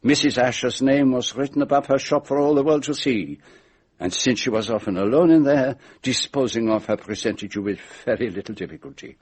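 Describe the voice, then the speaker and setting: An actor playing a shrewd, methodical detective in a radio mystery drama, speaking formally and deliberately as he sets out an accusation. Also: medium pitch, a little mellow, breathy edge, lightly acted. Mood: methodical, grave. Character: shrewd, methodical male detective laying out his case.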